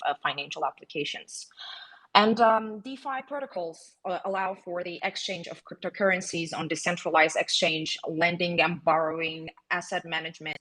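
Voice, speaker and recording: A woman's voice: fairly low pitch, a bit bright, slightly rough, low-quality recording. A woman originally from Greece, a guest marketing officer, explaining a finance topic in a hesitant, filler-heavy way on a podcast.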